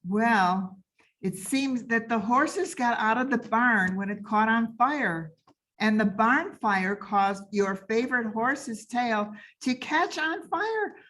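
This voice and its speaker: A woman's voice: on the high side, expressive, slow, fairly bright tone. A woman playing an eager, flustered house sitter in an old-time radio comedy. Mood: flustered, apologetic.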